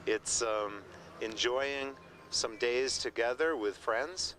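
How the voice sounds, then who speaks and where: A man's voice: medium pitch, takes it slowly, fairly bright tone, a little hiss or hum. A man in his forties speaking earnestly and reflectively in an interview. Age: 40s. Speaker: adventure racer. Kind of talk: interview talk. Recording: background music under speech.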